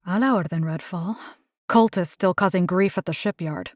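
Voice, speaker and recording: A woman's voice: lowish voice, normal speed, dry recording, natural voice. Game heroine, female voice actor: young Puerto Rican engineer, tough, sassy, slangy wisecracker mixing in Spanish. Dry studio sound. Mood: focused. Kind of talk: video game character voice lines.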